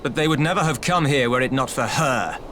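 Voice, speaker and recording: An actor playing a wary, blunt island fighter in a video game, speaking in a grim, grudging way. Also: male voice, mid-range voice, brisk, fairly bright tone, a little hiss or hum, close to an everyday voice. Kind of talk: English video game cutscene acting.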